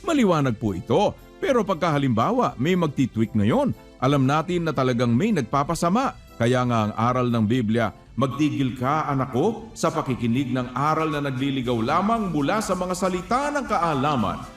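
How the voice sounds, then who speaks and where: Male preacher, lively melodic delivery, admonishing and emphatic, music underneath. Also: mid-range voice, moderate pace. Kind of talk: religious exhortation with rhetorical questions and scripture quotes.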